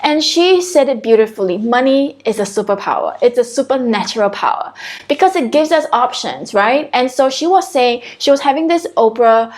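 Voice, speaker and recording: Singaporean woman, spiritual coach doing a home live stream, chatty, fairly high voice. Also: expressive, normal speed. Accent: Singaporean English. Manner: chatty, repeats and restarts phrases, talks directly to viewers. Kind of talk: casual motivational live stream. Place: her own home.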